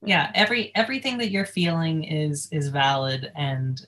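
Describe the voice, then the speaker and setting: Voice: medium pitch, lively intonation, normal speed, a bit bright, slight background noise. A person in casual podcast chat, talking in a reflective, rambling way with plenty of fillers. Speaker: podcast guest musician.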